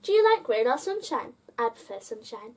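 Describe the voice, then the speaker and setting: A woman's voice: fairly high pitch, big pitch swings, normal speed. A woman hosting a story time, reading a children's picture book aloud and addressing young listeners directly.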